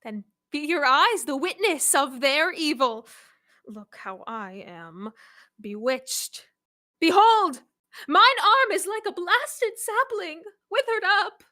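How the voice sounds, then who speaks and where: A woman's voice: high-pitched, animated, takes it slowly, pushed and bright, no echo, studio quality, full character voice. A student actress playing a scheming, wrathful male would-be king in a Shakespearean radio play, theatrical and commanding. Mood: accusing, wrathful. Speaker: student actor.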